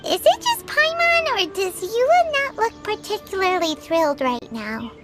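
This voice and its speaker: A woman voicing a tiny, excitable female fairy companion with a squeaky, childlike voice in a video game. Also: normal speed, exaggerated voice. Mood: excited, chirpy. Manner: speaks of self in third person. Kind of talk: English video game voice acting. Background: background game music.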